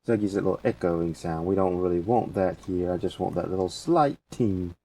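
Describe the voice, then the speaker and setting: Guy doing a laid-back how-to, low mellow voice, bit far from the mic with faint noise. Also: fairly quick. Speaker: tutorial presenter. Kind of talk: casual software walkthrough.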